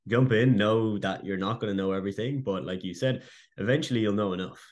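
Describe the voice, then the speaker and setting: Upbeat male host with a low voice, relaxed interviewer. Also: brisk. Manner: upbeat, informal, loose phrasing with fillers. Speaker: host and business coach. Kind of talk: casual interview chat.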